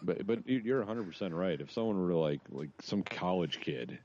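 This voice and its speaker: A man co-hosting a podcast in casual, slangy, sweary banter, acting out mock quotes in a gravelly, husky voice. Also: sits low, animated, moderate pace, a little mellow, muffled recording. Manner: slangy, profane, riffing with acted-out quotes. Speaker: podcast co-host. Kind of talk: casual comedic chat.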